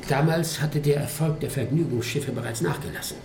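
A man's voice: mid-range voice, a little fast, a little mellow, echoey room, a little hiss or hum, natural voice. An actor in a German radio drama playing a talkative, wistful old male robot, a courteous, rambling ship's officer. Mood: explaining, courteous.

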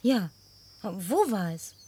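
A woman's voice: mid-range voice, sing-song, takes it slowly, mellow. An actress in a German radio play, voicing an inquisitive, suspicious, solitary woman with a probing way of talking. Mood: probing, guarded. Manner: probing, questioning.

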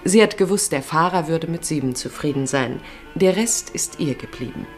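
Female narrator for literary radio drama, deep voice, scripted storytelling, music behind. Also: lively intonation, normal speed.

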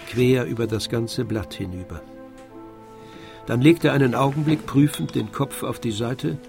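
Male narrator of a literary radio drama. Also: mid-range voice. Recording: faint music behind the narration. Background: faint music.

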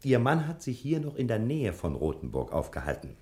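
An actor playing a smug, sly, self-important American private detective in a German radio play, talking pompously. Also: male voice, medium pitch, huge pitch swings, a little fast, darkish tone. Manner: pompous, speaks of himself by name.

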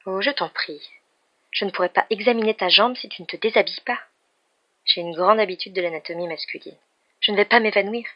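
A storybook narrator voicing a confident, bossy but kind young woman doctor with a brisk, professional manner. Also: mid-range voice, no room sound, voice pushed up, close to an everyday voice. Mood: brisk, insistent. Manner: professional, matter-of-fact, commanding. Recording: dull, muffled lo-fi recording.

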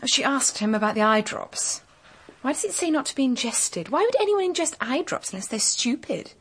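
Husky, exasperated young woman. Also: sits high, very animated, moderate pace, a bit bright, exaggerated voice. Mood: exasperated, worried. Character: exasperated, protective elder sister, young woman. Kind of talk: radio drama acting.

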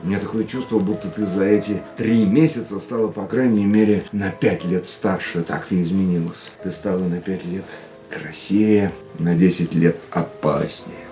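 A man's voice: sits low, fairly even intonation, moderate pace, dark tone, lightly acted. An actor playing a passionate, jealous man in a radio play, talking in an intimate, earnest way. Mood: tender. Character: passionate jealous male racing driver. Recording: radio play with faint music.

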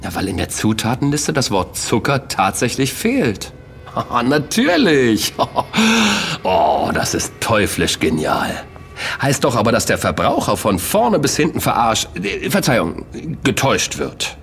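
A man's voice: medium pitch, a little slow, vocal fry, heavily acted. Cheeky young man in an audio drama, voice swooping all over, casual and easily amazed. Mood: amused. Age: young adult. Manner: casual, hesitant, expressive. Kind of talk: German audio drama dialogue.

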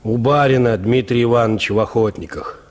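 A man's voice: medium pitch, fairly quick, close to an everyday voice. An actor playing an indifferent, spoiled peasant huntsman in a radio drama, with nonchalant, condescending, folksy speech. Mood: dismissive, self-satisfied. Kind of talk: Russian radio drama acting.

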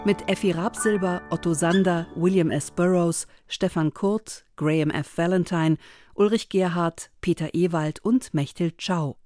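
A woman announcer reading the credits of a radio feature in a calm, measured way. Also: on the low side, soft background music.

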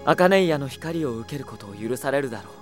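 An actor playing a young prince who leads an army, speaking in a dignified, measured and earnest way in an anime-style audio drama. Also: male voice, mid-range voice, expressive, over music, natural voice. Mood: solemn, stern. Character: young prince leading an army, noble, merciful yet firm.

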